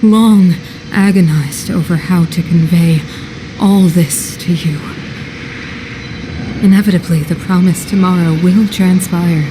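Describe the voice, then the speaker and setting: A woman's voice: lowish voice, fairly even intonation, measured pace, background noise, lightly acted. An actress playing a grandiose, fanatical middle-aged female ruler and mother in a video game cutscene, speaking in stately, theatrical oratory. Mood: solemn, confiding. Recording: loud music behind the voice. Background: loud music.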